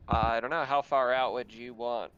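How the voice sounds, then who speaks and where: A man's voice: mid-range voice, fairly even intonation, moderate pace, fairly bright tone, clean tone, dull audio. A man running a tabletop game as game master, mixing casual narration with banter among players.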